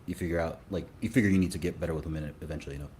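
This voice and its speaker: A man's voice: deep voice, steady tone, racing, a little mellow, a little hiss or hum. A man from Sudan, a dating coach, talking casually in an interview with many fillers and restarts.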